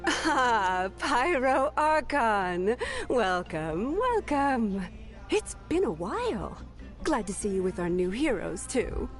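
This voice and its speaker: Friendly shop boss in a game, slow and amused, lilting lively voice. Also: female voice, on the high side. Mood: welcoming. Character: friendly, welcoming food stall boss. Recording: game music behind the voice.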